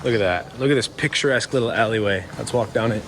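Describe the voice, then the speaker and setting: A man's voice: on the low side, fast talker, background noise. A man vlogging in a chatty, easygoing way while walking through old town streets outdoors, talking to the camera with plenty of fillers. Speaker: travel vlogger.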